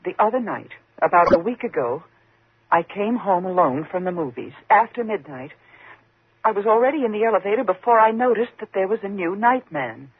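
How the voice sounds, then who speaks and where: On a muffled old radio recording, a frightened single woman haunted by fear. Her husky voice moves up and down dramatically, tense and faltering, in classic 1950s suspense style. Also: medium pitch, expressive, a little fast, no room sound. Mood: haunted, dread.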